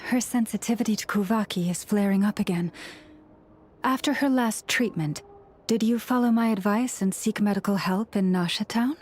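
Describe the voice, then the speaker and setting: A woman playing a serene, devout young priestess and healer in a video game, speaking in a gentle, measured, formal way with quiet authority. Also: medium pitch, natural voice. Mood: concerned, urgent.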